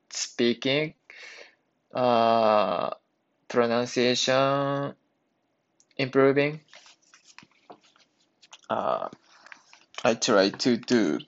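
A man hosting an English practice podcast, speaking and reading aloud in careful, halting learner's English. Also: mid-range voice. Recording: dull lo-fi sound.

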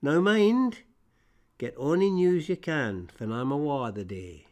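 A man voicing a wise, protective mother lark in Scots storybook verse, gentle and reassuring, with a Scottish accent. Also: a little high, expressive, slow pace. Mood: firm.

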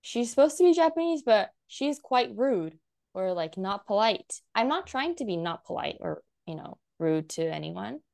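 Young woman guest, casual rambling talker, some vocal fry. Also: medium pitch, sing-song, normal speed, a little mellow. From United States. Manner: rambling, many "like" fillers, frequent laughs. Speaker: guest content creator. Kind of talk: casual chat.

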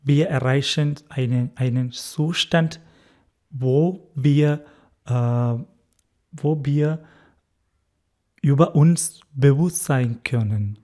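Slow, halting Indian-accented man. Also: mid-range voice, dark tone. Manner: careful, halting German with fillers, recently learned. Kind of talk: interview answers.

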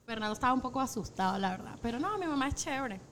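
A woman's voice: fairly high pitch, rapid-fire, a little hiss or hum. A woman from Caracas with a Venezuelan accent, telling stories casually and candidly as an interview guest, using plenty of slang. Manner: candid, animated slangy storytelling. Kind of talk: casual conversational storytelling.

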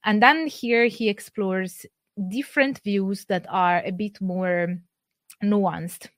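A woman talks through scholarly sources in a careful, measured lecture. Her voice sits in a middling range, neither high nor low. The sound is dry, with almost no room around it. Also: a bit bright, no room sound. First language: Italian. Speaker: presenter. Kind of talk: academic lecture.

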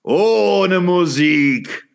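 A man playing a frenzied, ecstatic philosopher in a radio play, with a rough voice and halting, theatrical delivery. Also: high-pitched, lively intonation, full character voice. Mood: exalted, feverish. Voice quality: gravelly, rough. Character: frenzied, ecstatic male philosopher craving music and intoxication. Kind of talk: surreal radio play acting.

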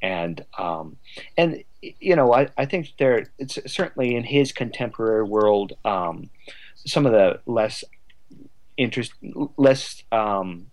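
Low-voiced man, halting and discursive. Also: expressive, a little mellow. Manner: halting, thinking aloud, discursive. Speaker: guest writer of a radio drama. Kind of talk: conversational interview.

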